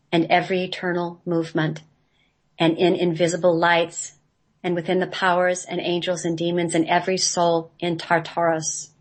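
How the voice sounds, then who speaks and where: A woman's voice: fairly low pitch, lightly acted. A woman playing a divine spirit of creation in a radio play, making solemn, incantatory declarations. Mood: solemn proclaiming.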